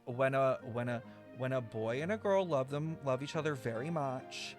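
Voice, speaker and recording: A man's voice: medium pitch, moderate pace. A young man livestreaming a game with casual, sarcastic, slangy and profane commentary over music.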